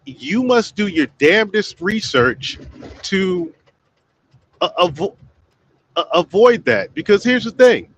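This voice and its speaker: A man hosting a casual livestream. He rambles, talks straight to his viewers as "y'all" and gives shout-outs along the way. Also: sits high, big pitch swings, takes it slowly, pauses often, low-quality recording. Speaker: livestream host.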